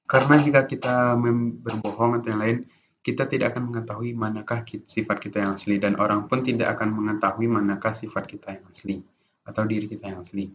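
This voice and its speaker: Young man, student presenter, lowish voice, hesitant with restarts, dull lo-fi recording. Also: flat delivery, a little fast. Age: young adult. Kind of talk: informal class presentation. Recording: dull lo-fi recording with faint noise.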